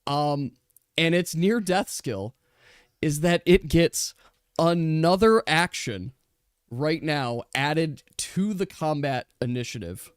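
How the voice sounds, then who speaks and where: A man's voice: on the high side, animated, takes it slowly, clean tone, no echo, clean studio sound. A man speaking as game master, switching between casual rules talk and descriptive narration of a tabletop role-playing game.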